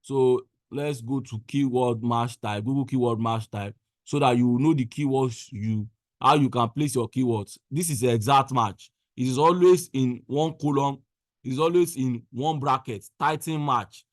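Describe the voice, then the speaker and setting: Man, mid-range pitch, tutorial presenter, step-by-step instruction, repeats key phrases, dry sound. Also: moderate pace, no echo. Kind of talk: screen-share software tutorial, step-by-step instruction. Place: indoors, voice-over on a screen recording.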